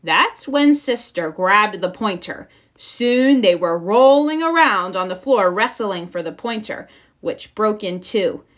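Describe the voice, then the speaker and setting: Bright, animated woman reading a storybook. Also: medium pitch, slow pace. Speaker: storybook reader. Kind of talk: expressive children's read-aloud narration.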